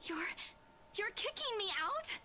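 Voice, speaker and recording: A woman's voice: very high voice, lively intonation, unhurried, crowd noise. A woman playing a stubborn, pouty young female assistant in a visual novel, with a sulky, protesting manner.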